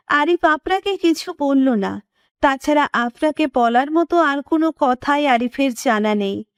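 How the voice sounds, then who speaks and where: A woman's voice: high voice, no echo, clean studio sound. A woman reading scripted narration for a romantic story as its narrator.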